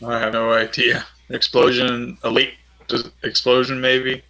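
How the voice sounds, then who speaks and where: Man, bright mid-pitched voice, casual game player chat. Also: completely flat delivery, a little slow.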